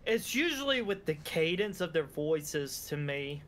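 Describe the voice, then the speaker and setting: A man's voice: on the high side, sing-song, measured pace, a bit bright, slight background noise. A man co-commentating on game clips in casual chat. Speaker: reaction video co-commentator.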